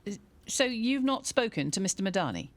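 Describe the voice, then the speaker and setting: A woman's voice: mid-range voice, very animated, a little fast, lightly acted. A woman playing a put-upon village vicar in a radio soap, tentative and mildly sceptical. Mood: hesitant, doubtful.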